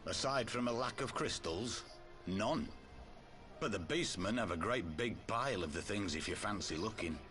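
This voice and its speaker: An actor playing a gruff, solemn and fervent male resistance fighter in a video game cutscene. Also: on the low side, lively intonation, moderate pace, a bit bright, faint music behind. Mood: curt.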